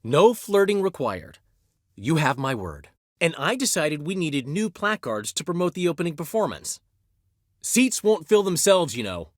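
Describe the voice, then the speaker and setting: A man's voice: a little high, sing-song, normal speed, dry recording, clean studio sound. An actor playing a flamboyant, talkative male bard in a video game, witty and theatrical. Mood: teasing. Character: flamboyant, chatty middle-aged male bard and poet. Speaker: game character.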